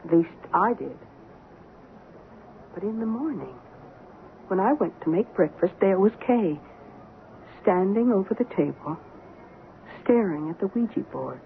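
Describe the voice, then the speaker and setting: A woman's voice: mid-range voice, lively intonation, a little slow, creaky, pauses often. An actress playing a sensible woman who recounts her housemate's eerie obsession in an earnest, suspenseful radio drama style. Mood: suspenseful recounting. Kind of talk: old-time radio drama acting. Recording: noisy, narrow-band old radio recording.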